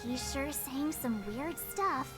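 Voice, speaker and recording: A woman's voice: high voice, music in the background. An actress playing a bubbly, curious young female companion with a chirpy, playful manner in a game cutscene. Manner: chirpy, playful, drawn-out questions.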